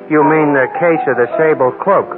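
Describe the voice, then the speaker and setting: An American actor playing a folksy, inquisitive, simple-minded bartender in a 1940s radio crime drama. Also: male voice, on the high side, normal speed, close to an everyday voice. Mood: curious. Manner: folksy, colloquial grammar. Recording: tinny old radio, loud music behind.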